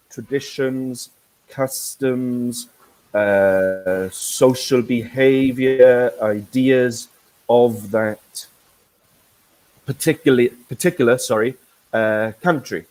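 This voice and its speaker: A man from Wales leads an online business English class at an unhurried, measured pace. His pitch sits in an ordinary middle range. He explains words carefully, pausing on fillers and repeating himself as he finds the right phrase. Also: a little mellow, poor audio. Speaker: corporate English teacher, webinar host. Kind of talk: teaching webinar, explaining words.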